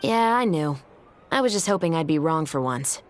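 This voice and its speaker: A woman's voice: deep voice, animated, normal speed, slight background noise. A woman voicing a composed, principled young guard officer in a video game, matter-of-fact and assured. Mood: wistful, resolute.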